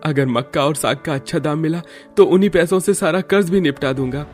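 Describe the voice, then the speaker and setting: A man's voice: a little high, fairly quick, a little mellow, soft background music, natural voice. A man playing a worried young husband and struggling street-food seller in a cartoon, earnest and plaintive. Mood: hopeful. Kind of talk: Hindi cartoon story dialogue.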